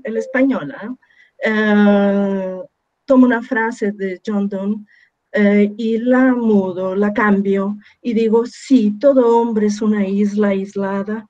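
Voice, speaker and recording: A woman's voice: mid-range voice, warm tone, dull audio. Chilean woman with a Portuguese-tinged accent. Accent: Chilean Spanish with strong Brazilian Portuguese influence. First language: Spanish, long living in Brazil. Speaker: architect and webinar speaker. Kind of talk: presenting a talk on a video call.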